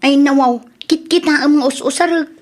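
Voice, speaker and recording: A woman's voice: high voice, fairly even intonation, normal speed, a little mellow, lightly acted. A woman with an Ilocano Filipino accent playing an everyday, defensive young woman in a radio drama, conversational and exclamatory.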